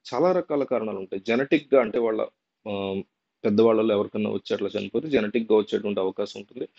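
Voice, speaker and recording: A man's voice: mid-range voice, darkish tone. A man presenting an informal unscripted explainer, repeating and rephrasing points and mixing in English terms. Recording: dull, lo-fi sound.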